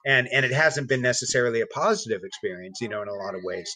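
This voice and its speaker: Male guest, mid-pitched, reflective and earnest, halting phrasing, dry sound. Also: lively intonation, sharp tone, no echo. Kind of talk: reflective conversational talk.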